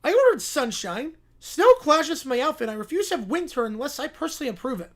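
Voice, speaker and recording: A woman's voice: high voice, big pitch swings, brisk, voice pushed up. A woman voicing whiny, bratty, weepy small children in a cartoon-style skit, full of petulant complaint.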